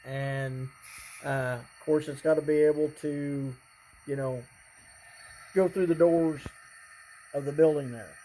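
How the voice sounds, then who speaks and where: Slow-talking hobbyist, noisy recording. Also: mid-range voice, mellow. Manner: halting, rambling, frequently clears throat. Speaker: hobbyist presenter showing a model train layout. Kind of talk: casual unscripted demonstration.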